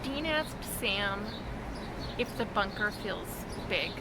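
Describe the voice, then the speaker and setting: A woman talking casually in a reaction vlog, with a halting, expressive fangirl delivery and dramatic pauses. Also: medium pitch, very noisy. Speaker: vlogger sharing reactions to fan fiction.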